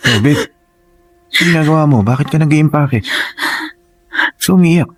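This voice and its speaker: A man playing a possessive, quick-tempered husband in a radio drama, with a demanding, argumentative manner. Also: on the high side, faint music behind, lightly acted. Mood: puzzled. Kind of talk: Tagalog radio drama acting.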